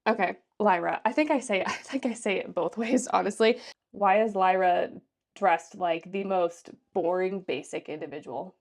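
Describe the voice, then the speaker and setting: A woman's voice: medium pitch, normal speed. A stuffed-up, congested woman in her late twenties talks through a book review in a sassy, chatty way. Her voice sits in an ordinary middle register, and she rambles with fillers and restarts. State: congested from allergies. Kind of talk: casual book review vlog.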